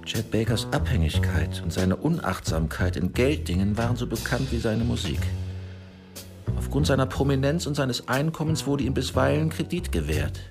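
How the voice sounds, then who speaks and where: An actor playing a courteous but menacing criminal go-between in a radio crime drama, formal and measured. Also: male voice, medium pitch, big pitch swings, vocal fry, music in the background. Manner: formal, measured, icily polite.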